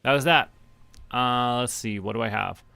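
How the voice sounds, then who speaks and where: A man's voice: medium pitch, normal speed, gravelly, bright, forceful tone, dry recording. A man giving casual livestream game commentary, musing to himself in short remarks. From Canada. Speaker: VTuber streamer. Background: background music.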